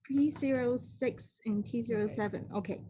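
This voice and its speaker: A woman moderating an online question session in a polite, formal, halting way over a slightly dull call connection. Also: fairly high pitch, dark tone, slightly rough.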